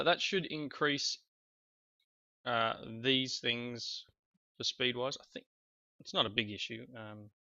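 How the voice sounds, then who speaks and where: A man's voice: medium pitch, pushed and bright, no echo. A man coming down with a cold, chatting his way through a video game in rambling, self-correcting commentary. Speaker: let's play host. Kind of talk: casual gameplay commentary. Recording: slightly dull lo-fi recording. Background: faint background music.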